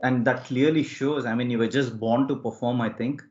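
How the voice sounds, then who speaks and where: Man, podcast host, enthusiastic, mid pitch, dull audio. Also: fairly even intonation, normal speed, darkish tone. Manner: enthusiastic, frequent backchannel wows. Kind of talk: casual interview questions.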